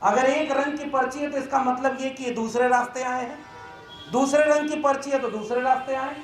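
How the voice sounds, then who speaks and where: A man's voice: extremely high pitch, steady tone, brisk, faint music behind. A man giving an emphatic, indignant, oratorical speech as a professor at a press conference. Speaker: university professor and activist speaker. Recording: echoey room with faint background noise.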